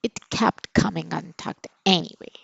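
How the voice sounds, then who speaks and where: A kind, scruffy storybook giant, acted out by a woman reader, hearty and eager to help. He speaks in unhurried phrases with cheerful good nature. The recording is dry and a touch muffled. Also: on the low side, vocal fry, breathy edge, no room sound, pitched below the performer's own voice, exaggerated voice. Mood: cheery, reassuring.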